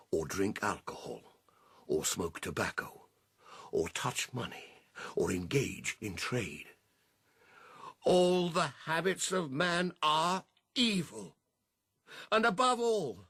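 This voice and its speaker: An actor playing an old boar, a hoarse and solemn visionary leader making speeches to farm animals in a radio drama. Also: male voice, on the high side, a bit bright, no echo, clean studio sound, exaggerated voice. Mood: stern, then rousing, fervent. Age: older. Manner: solemn, rhetorical, deliberate.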